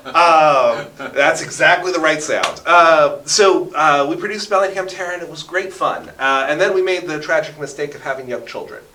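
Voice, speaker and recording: A man's voice: fairly high pitch, moderate pace, hardly any pauses, sharp tone, slight background noise. A talkative man in his thirties telling stories with enthusiasm and dramatic emphasis as a radio interview guest. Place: basement radio station studio. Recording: roomy echo, distant from the mic.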